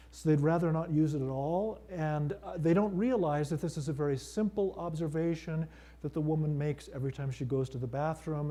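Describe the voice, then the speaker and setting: A middle-aged male priest giving a conference lecture, measured and thoughtful, with frequent fillers and restarts. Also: a little high, dark tone. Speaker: priest and seminary teacher.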